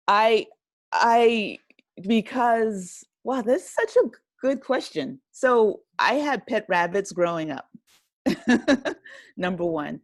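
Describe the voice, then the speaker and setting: A woman's voice: a little high, no room sound, clean studio sound. A woman speaking casually as an interview guest, modest and self-deprecating, laughing easily. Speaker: interview guest, audio drama writer and director.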